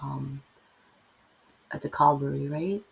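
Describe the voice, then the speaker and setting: A middle-aged woman with a Filipino accent speaks in a low, gravelly and husky voice. She teaches scripture casually, wandering off topic and tagging her points with "right?". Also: slow, rough recording. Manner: rambling, self-interrupting, tags many lines with "right?". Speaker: Bible teacher. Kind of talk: casual unscripted Bible teaching.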